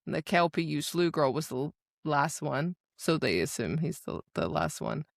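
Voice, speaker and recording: A woman with a slightly low voice in a casual podcast chat about books. She is opinionated and emphatic, using plenty of slang. The sound is dry and close, with no room to it. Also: very animated, moderate pace, vocal fry, no room sound. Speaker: podcast co-host. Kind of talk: casual book discussion chat.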